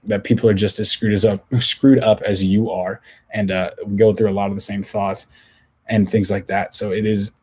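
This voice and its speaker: A hoarse young man talks through his solo podcast in a loose, rambling way, circling back and restarting his sentences. His voice sits low and husky and barely moves in pitch. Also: flat delivery, normal speed, darkish tone. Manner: rambling, many restarts and filler words. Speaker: podcast host. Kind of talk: casual solo podcast talk.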